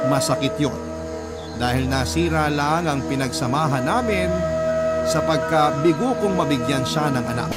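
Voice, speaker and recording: A man reading a listener's letter as sentimental scripted first-person narration on a radio story show. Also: on the high side. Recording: loud music bed. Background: loud background music.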